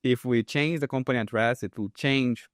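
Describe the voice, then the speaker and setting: A man's voice: medium pitch, moderate pace, no room sound, clean studio sound. A young adult man with a Brazilian accent, chatting casually about work as a co-host. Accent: Brazilian Portuguese. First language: Portuguese.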